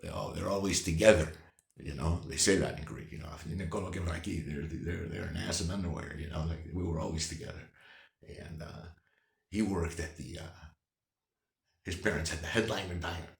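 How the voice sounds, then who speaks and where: A man's voice: sits low, brisk, gravelly, roomy sound. An older man telling his own life story candidly, rambling with many fillers and restarts. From United States.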